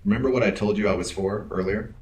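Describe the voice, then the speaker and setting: An actor playing a calm, capable bodyguard in an audio drama, terse and protective, with deadpan, clipped, formal speech. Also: male voice, on the low side, fast talker, a little hiss or hum, natural voice. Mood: steady, reassuring.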